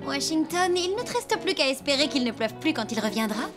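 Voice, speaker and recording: A woman voicing a high, know-it-all cartoon pet. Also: animated, brisk. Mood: matter-of-fact. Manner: matter-of-fact, condescending. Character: prim, know-it-all talking house pet. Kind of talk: French cartoon dub acting. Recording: music behind the voice.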